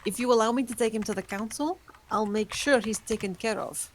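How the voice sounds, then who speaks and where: A woman voicing an ancient female vampire who speaks in a calm, formal way. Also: on the high side, fairly quick, a little hiss or hum, lightly acted. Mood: composed. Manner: composed, formal. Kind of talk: amateur online table read.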